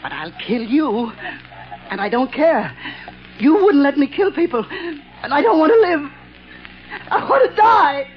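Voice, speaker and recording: A deranged night watchman in a vintage radio thriller. His voice sits very high and leaps about excitedly, stuttering and giggling as he repeats himself. The recording is muffled and old. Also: measured pace, long pauses, heavily acted. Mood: desperate, deranged. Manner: stammering, repetitive, giggling, excitable. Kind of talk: 1940s radio drama acting.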